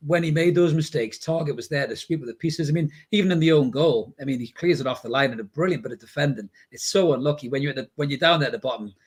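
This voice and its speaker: A man's voice: fairly high pitch, quick pace, hardly any pauses, husky. A man hosting a casual football podcast, chatting in a matey way with guests and commenters. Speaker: podcast host.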